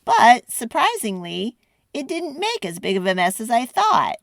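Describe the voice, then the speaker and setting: A woman hosts a children's read-aloud in an expressive storytelling style. Her voice is a bit high and swings widely, and she takes her time with dramatic phrasing at a very unhurried pace. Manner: animated, deliberate, dramatic phrasing.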